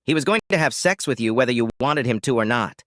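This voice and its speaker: A man's voice: medium pitch, expressive, fast talker, fairly bright tone, dry recording, studio quality, natural voice. A man playing an angry, betrayed husband, confronting and accusing with sarcastic force. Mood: bitter.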